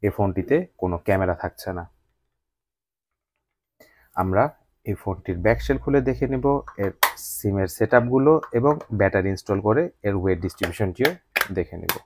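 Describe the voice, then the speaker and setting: A man's voice: fairly low pitch, expressive, a little fast, pauses often. A man with a Bangladeshi accent narrating a scripted product unboxing review as the channel's host.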